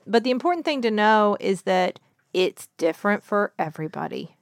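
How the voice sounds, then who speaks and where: A woman's voice: medium pitch, expressive, normal speed, no room sound, studio quality. A woman doctor and podcast host explaining medical questions to a child in patient, simple, reassuring words.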